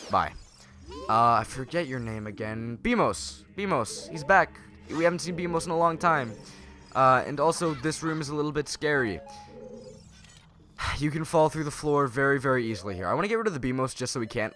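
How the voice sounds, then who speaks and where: Young man, nasal from a cold, bright forceful voice, rambling sarcastic game commentary, faint music behind. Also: mid-range voice. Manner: rambling and sarcastic, self-correcting. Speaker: let's play host. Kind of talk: casual gameplay commentary.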